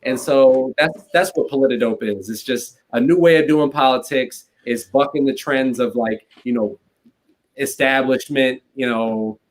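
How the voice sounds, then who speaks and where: A man in his late twenties speaks as a podcast guest, a political consultant. He talks quickly and casually, with a constant stream of "like" fillers. His voice sits at an ordinary middle pitch. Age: 20s. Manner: fast, conversational, peppered with "like". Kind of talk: casual interview chat.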